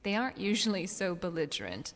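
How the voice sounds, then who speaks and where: A woman's voice: on the low side, sing-song, a little fast, a bit bright, long reverb tail. A woman playing a guarded rebel commander in a space opera audio drama, speaking with firm, probing authority. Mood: probing, wry.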